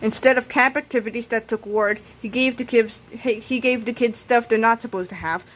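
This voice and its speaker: A woman reading a children's comic aloud in an animated, dramatic, expressive way, occasionally stumbling and re-reading. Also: on the high side, brisk, rough recording. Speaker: read-aloud narrator.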